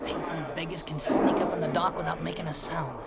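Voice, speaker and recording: A man's voice: a little high, fairly even intonation, brisk, gravelly, over a noisy crowd. An actor playing a young army drummer boy, cheeky and boastful, eager to win a medal. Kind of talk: 1940s radio acting. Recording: old radio broadcast with hiss.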